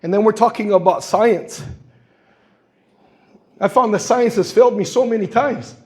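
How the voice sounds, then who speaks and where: A man's voice: high voice, normal speed, a little mellow. A man in his sixties from Guam, speaking at a public forum and telling stories with the quoted voices acted out. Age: 60s. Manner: storytelling, acts out quoted voices. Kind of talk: impassioned public comment. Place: public meeting hall.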